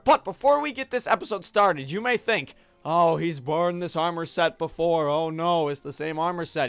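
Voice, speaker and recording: A man's voice: high voice, no echo. A young man hosting a game video, chatting casually and upbeat with fillers, sounding stuffed up from allergies. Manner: upbeat, chatty, shout-outs with many fillers. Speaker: gaming channel host. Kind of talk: casual let's-play commentary.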